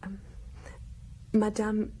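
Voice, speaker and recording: A woman playing a reluctant, cornered young mistress under questioning in a radio drama, hushed and hesitant. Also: mid-range voice, completely flat delivery, very slow, a little hiss or hum. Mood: nervous, reluctant.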